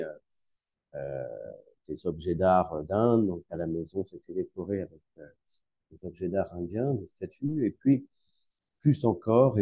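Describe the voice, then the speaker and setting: Deep-voiced French man, hesitant. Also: no echo, dull audio. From northern France, Lille. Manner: hesitant, many fillers and restarts. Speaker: guest, teacher. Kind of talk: conversational interview.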